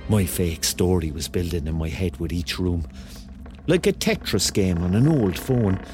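An actor playing a rambling, excitable thirty-year-old man with a Cork accent, telling his story colloquially in a radio drama. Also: sits low, moderate pace, soft background music. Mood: confiding, restless. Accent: Cork Irish. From Cork, Ireland. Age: 30s. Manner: colloquial, digressive, conversational. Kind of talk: first-person radio drama narration and dialogue.

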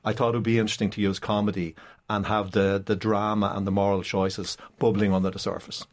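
A man's voice: fairly low pitch, level tone, a little fast. An Irish man speaking as a writer in a radio interview, explanatory and repeating points for emphasis. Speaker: playwright, interview guest.

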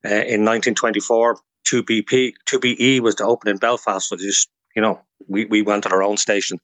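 A man's voice: fairly low pitch, little pitch movement, brisk, a bit bright, no echo. An Irish man speaking as an expert guest, telling history informally in an interview. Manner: informative, storytelling. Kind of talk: informal explanatory interview talk.

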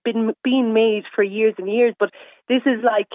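A woman's voice: fairly high pitch, steady tone, normal speed, no echo. A woman calling in to a radio show, speaking with enthusiasm and pride, often repeating words as she goes. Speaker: caller, Irish language advocate. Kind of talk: phone-in radio commentary. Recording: tinny phone line.

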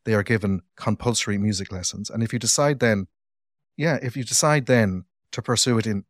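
Chatty Irish man, low voice, dry studio sound. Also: fairly quick, no echo. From Ireland. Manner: chatty and playful, teasing asides. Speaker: podcast host. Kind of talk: casual interview.

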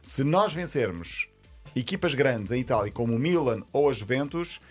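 A man's voice: mid-range voice, very animated, normal speed, soft background music. A man telling an anecdote casually as a radio sports contributor.

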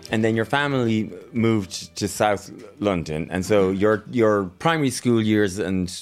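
A man's voice: on the low side, measured pace, faint music behind. A man interviewing a guest, asking friendly prompting questions in a casual conversation.

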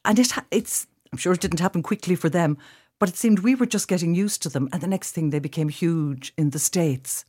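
A woman's voice: lowish voice, a little fast, mellow, slightly rough. A woman from Limerick with a Limerick Irish accent, chatting thoughtfully as a radio guest and often repeating phrases as she searches for words. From Limerick, Ireland. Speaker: actress and director, guest. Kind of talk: casual radio interview, reminiscing.

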